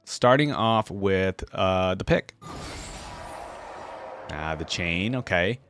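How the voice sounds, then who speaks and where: A man's voice: sits low, lively intonation, slow, background noise. A man hosting a reaction video, commenting casually and musing aloud to his viewers.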